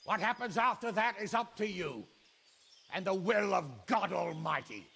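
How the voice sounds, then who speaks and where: An actor playing a commanding noble knight in a video game, formal and declamatory, calling out across a distance. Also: male voice, sits high, animated, normal speed, creaky, fairly bright tone, slightly rough, long reverb tail, full character voice. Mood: firm, formal. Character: commanding male noble knight negotiating a siege. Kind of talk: cinematic video game acting.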